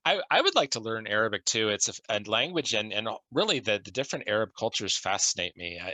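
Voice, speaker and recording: A man's voice: fairly low pitch, pushed and bright, no echo. A man hosting an interview podcast, talking in a friendly, conversational way with frequent restarts. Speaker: podcast host.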